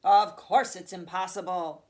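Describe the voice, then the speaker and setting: A woman voicing an excitable, kindly old grandfather from a children's storybook, dramatic and savoring each detail. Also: on the low side, measured pace, close to an everyday voice. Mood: emphatic, excited. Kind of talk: children's storybook read aloud.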